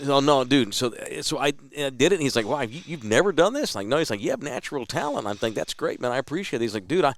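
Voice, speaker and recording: A man's voice: medium pitch, fast, hardly any pauses. Texan man telling a story. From Texas. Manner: animated storyteller, quotes others in a lively voice. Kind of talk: casual storytelling chat.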